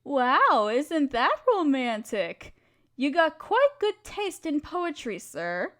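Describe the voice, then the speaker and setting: High-voiced, slow-paced affectionate wife played by a woman. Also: big pitch swings, fairly bright tone, exaggerated voice. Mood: playful teasing. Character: affectionate, teasing wife sharing love poems with her partner. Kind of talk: intimate roleplay audio with poetry reading.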